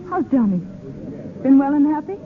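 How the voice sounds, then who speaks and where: Casting: wistful young society wife, very high genteel voice, 1930s radio acting, tinny broadcast with busy background. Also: female voice, expressive, moderate pace. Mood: nervous, wistful.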